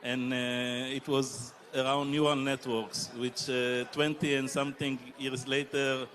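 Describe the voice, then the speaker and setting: Man, fifties, hesitant, middle-pitched voice, conference stage mic. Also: level tone, fairly bright tone, slight background noise. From Israel. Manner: halting, many filler pauses. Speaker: panelist. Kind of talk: panel discussion answer.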